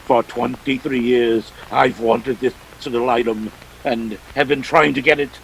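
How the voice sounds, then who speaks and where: An actor playing a jovial, pompous, scheming older crime boss with florid, courtly speech in a detective radio play. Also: male voice, medium pitch, fairly even intonation, measured pace, vocal fry, a bit bright, a little hiss or hum, poor audio. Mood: jovial, composed.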